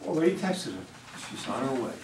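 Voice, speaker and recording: A man's voice: mid-range voice, sing-song, a little fast, natural voice. A man playing a brash, cynical, pushy campaign manager in an amateur play reading, blunt and wisecracking. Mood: persuasive. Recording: distant, echoey room, faint noise.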